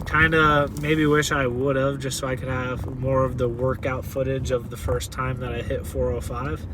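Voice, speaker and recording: A man's voice: mid-range voice, little pitch movement, moderate pace. A man in his twenties talking casually to camera as a fitness vlogger, rambling with lots of "like" and restarts. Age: 20s. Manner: rambling, filler-heavy, with many "like"s and restarts. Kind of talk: casual vlog talk to camera. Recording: noisy outdoor ambience with cars.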